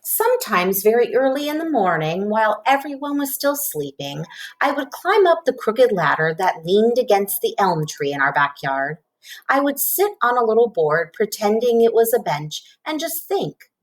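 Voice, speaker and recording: A woman reading a children's storybook aloud in an expressive narrating style. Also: a little high, moderate pace, poor audio. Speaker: storybook reader.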